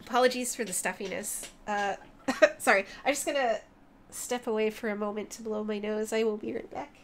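A woman's voice: mid-range voice, steady tone, moderate pace, slight background noise. A woman streamer with a stuffy nose, chatting casually and apologetically between laughs. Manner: giggly, apologetic. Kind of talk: casual gameplay chat.

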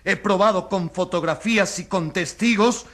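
Indignant male journalist, radio drama accuser, very high bright voice, declamatory, crowd behind. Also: moderate pace. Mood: earnest, accusing. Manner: impassioned, declamatory. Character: indignant crusading journalist accusing a corrupt political leader. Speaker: accuser in a trial. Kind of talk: radio drama courtroom oratory.

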